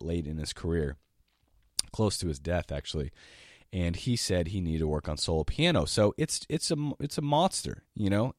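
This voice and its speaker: A sick man hosts his music-teaching podcast in a very deep, lowered voice. He rambles eagerly, restarts sentences and coughs now and then. The sound is dry and close, with no room around it. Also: darkish tone, dry recording. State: sick, voice lower than usual. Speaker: podcast host and jazz piano teacher. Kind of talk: casual solo podcast monologue.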